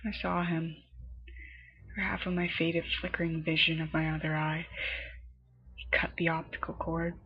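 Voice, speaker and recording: A woman narrating a scripted horror story in a dramatic manner, her phrases often dropping into a breathy hush. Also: sits low, little pitch movement, normal speed, long pauses. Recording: muffled lo-fi home recording, music behind. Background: background music.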